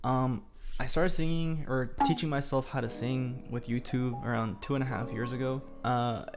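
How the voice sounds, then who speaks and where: A man's voice: mid-range voice, fairly even intonation, normal speed, music in the background. A young man born in Korea, whose first language is Korean, answering fan questions in a playful, self-deprecating way. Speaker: YouTuber. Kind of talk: casual Q&A vlog.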